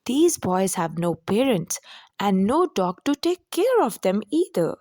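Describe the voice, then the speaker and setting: A woman's voice: medium pitch, very animated, measured pace, darkish tone, no room sound, clean studio sound. A woman voicing a small, polite, wishful young male puppy in a children's storybook. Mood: hopeful.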